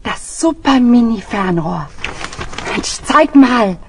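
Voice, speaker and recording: Casting: jittery, whining female crook for a children's audio play, highish voice, drawn-out delivery. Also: expressive, a little hiss or hum. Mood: hushed, eager. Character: nervous, whiny female crook, easily frightened. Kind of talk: children's radio play acting.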